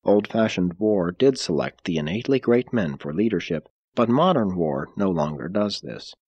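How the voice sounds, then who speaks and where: Husky, expressive male audiobook narrator. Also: low-pitched, normal speed, no room sound, highs cut off. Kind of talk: formal scripted audiobook narration.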